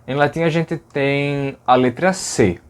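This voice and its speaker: A man's voice: on the high side, takes it slowly. Chatty man explaining sounds. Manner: conversational, fillers like "né?", demonstrates sounds aloud. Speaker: language educator. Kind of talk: explanatory video lesson.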